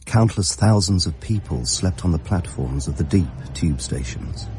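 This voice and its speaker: An actor playing a solemn chronicler, delivering scripted narration in a radio drama in a measured, deliberate way. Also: male voice, low voice, lively intonation, music in the background. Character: solemn male chronicler of Underground facts.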